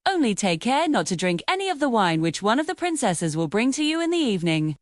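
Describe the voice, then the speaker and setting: Woman reading as a shrewd old dame, high-voiced. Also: sing-song, a little fast, dry recording, studio quality. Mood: advising. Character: old woman advising a soldier, shrewd and helpful.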